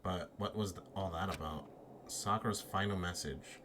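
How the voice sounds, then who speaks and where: Man playing an earnest, low-voiced schoolboy hero. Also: moderate pace, noisy background, natural voice. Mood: puzzled narration. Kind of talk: fan voice-over of a visual novel game. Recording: game music behind the voice.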